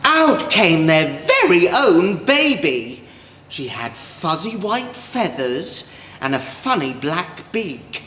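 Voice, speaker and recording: A man with an English accent, a drag queen storyteller, reading a children's story in an expressive, gentle way. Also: on the high side, slow pace. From Manchester, England. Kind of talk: children's storybook read-aloud. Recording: echoey room, clear background noise.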